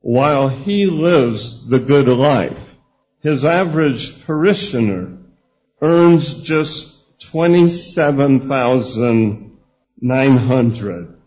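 Earnest male panelist, very slow deliberate reading. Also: mid-range voice, darkish tone, highs cut off. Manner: earnest, reads aloud with small restarts. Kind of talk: church panel discussion.

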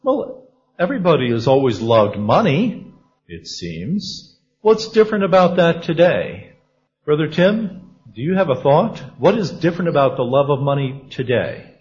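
A man leading a church panel discussion, reading scripture and calling on others by name in a measured way. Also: fairly high pitch, very animated, a little mellow, husky, muffled recording. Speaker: discussion leader.

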